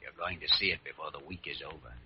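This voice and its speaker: An actor playing an earnest, confident hospital doctor with dry wit, explaining things in a measured way in an old radio drama. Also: male voice, very deep voice, fairly even intonation, slightly rough, full character voice. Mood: reassuring. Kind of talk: 1940s-50s radio drama acting. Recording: dull vintage radio recording.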